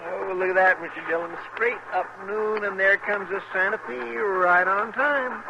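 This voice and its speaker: An actor playing an earnest, naive cowboy sidekick with a rural western American drawl and a folksy, deferential manner in a radio drama. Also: male voice, sits high, moderate pace. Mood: eager, flustered. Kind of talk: 1950s western radio acting. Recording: noisy, muffled old radio broadcast.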